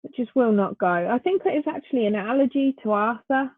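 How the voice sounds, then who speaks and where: Tired, bunged-up Northern English woman co-host, higher voice, dry and phone-like. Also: lively intonation, a little fast, no room sound. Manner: weary, short replies. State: tired, congested. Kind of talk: casual chat. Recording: dry tinny phone-like line.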